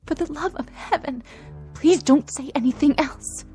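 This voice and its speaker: A woman's voice: high voice, moderate pace, mellow, lightly acted. A woman acting in a radio drama as a fearful wife, pleading urgently with her husband. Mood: desperate pleading. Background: music.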